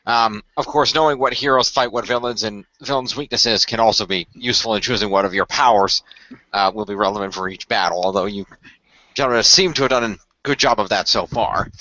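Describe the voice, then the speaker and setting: A man playing a calm, formal mentor of a secret hero team in an amateur radio play, speaking in measured, hesitant, diplomatic phrases. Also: fairly low pitch, no echo. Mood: measured, friendly. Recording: dry, dull lo-fi voice track.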